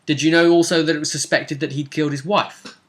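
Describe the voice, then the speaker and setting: A man's voice: on the high side, fairly even intonation, fast talker, a bit bright, a little hiss or hum. A man casually discussing a tabletop role-playing game as its game master, often checking with tag questions.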